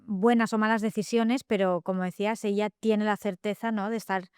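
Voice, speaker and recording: A middle-aged woman with a Catalan accent, a novelist talking reflectively in an interview, often pausing with fillers and tag questions. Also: medium pitch, steady tone, a little mellow, clean tone, no echo, clean studio sound. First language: Spanish. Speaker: guest novelist. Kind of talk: thoughtful interview talk.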